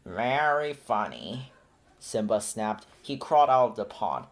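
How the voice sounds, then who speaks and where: A man narrating a children's picture book aloud in a steady, clear storytelling manner. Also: fairly low pitch, moderate pace. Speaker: storybook reader.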